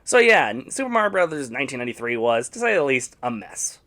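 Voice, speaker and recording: A man's voice: mid-range voice, sing-song, fast talker, pushed and bright. A man narrating a scripted video essay reviewing video game movies, with sarcastic, blunt opinions.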